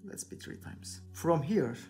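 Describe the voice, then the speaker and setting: A man's voice: fairly high pitch, lively intonation, measured pace, darkish tone, faint music behind. A young Turkish man with a Turkish accent, chatting casually in hesitant, searching English while he paints a figure at his workbench. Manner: hesitant, searching for English words, many "you know". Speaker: hobby painter, channel host. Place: indoor workbench.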